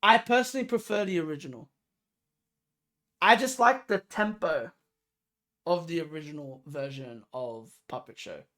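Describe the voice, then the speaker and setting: An excitable reaction host talking casually and exclaiming over a song, with mild swearing and a creaky voice. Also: mid-range voice, moderate pace, long pauses. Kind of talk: casual music reaction commentary. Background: pop music playing.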